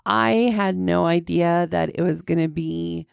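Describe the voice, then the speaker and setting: A middle-aged woman hosting a casual, joking podcast chat, her voice deepened and congested by a sinus infection, with vocal fry. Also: measured pace, no echo, studio quality. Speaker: podcast host.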